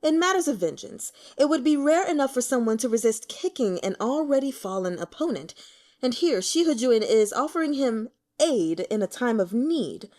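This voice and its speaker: Lady doing a snide spiteful lord in a fantasy audiobook, drawly, mocking, pitch swooping around. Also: lively intonation, moderate pace, darkish tone, natural voice. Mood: scornful. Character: sly, sneering aristocratic lord, male, spiteful troll.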